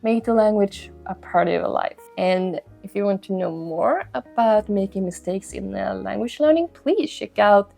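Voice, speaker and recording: A woman's voice: medium pitch, normal speed, vocal fry, hardly any pauses, mellow, faint music behind. A young woman whose first language is Swedish, giving earnest, encouraging advice to the camera as a language-learning host and repeating her key points. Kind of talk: motivational talk to camera.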